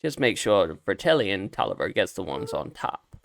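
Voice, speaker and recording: A man voicing a brisk, businesslike elderly grandmother in a video game, reading the dialogue in a measured way. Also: low-pitched, very animated, gravelly, a little hiss or hum, pitched below the performer's own voice, full character voice. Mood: brisk, matter-of-fact.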